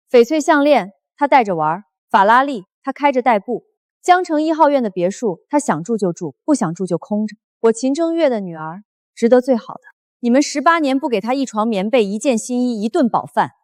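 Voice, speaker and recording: A woman's voice: fairly high pitch, a little mellow, no room sound, clean studio sound. An actress playing an imperious, protective wealthy woman in a short drama. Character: imperious, protective wealthy woman taking a wronged daughter's side. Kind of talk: Chinese short drama acting.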